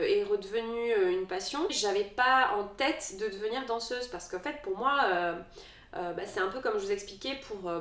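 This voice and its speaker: A woman's voice: medium pitch, distant mic. Thirty-something woman in an echoey room. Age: 30s. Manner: hesitant, many fillers and restarts. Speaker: dance vlogger. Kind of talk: casual Q&A vlog.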